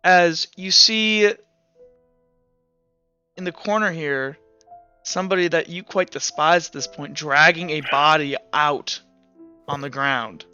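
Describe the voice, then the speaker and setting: A man narrating as game master, halting often with fillers and restarts. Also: a little high, sharp tone, smooth. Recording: dull lo-fi sound with faint music.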